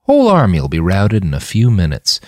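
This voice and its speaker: A man's voice: sits low, huge pitch swings, moderate pace, no echo, clean studio sound. A man playing a concerned, plain-spoken soldier comrade in an audiobook. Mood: calming. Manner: colloquial, concerned. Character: gruff, caring male soldier comrade.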